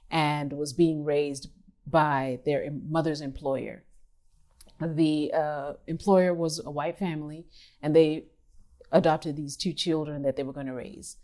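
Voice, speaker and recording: A woman from Kenya in her fifties, an artist who speaks thoughtfully in an interview, pausing and rephrasing as she goes. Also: deep voice, dark tone. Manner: thoughtful, measured, with pauses and restarts. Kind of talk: reflective interview talk.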